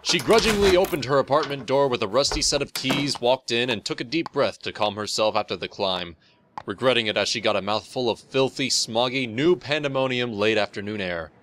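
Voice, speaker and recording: A man giving an expressive scripted narration of a story, as in an audiobook. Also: medium pitch, brisk. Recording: music playing behind the voice.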